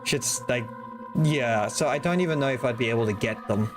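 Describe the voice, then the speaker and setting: A man's voice: medium pitch, a little fast, soft background music. Chatty male streamer rambling to chat. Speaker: game streamer. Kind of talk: casual live-stream chat while playing.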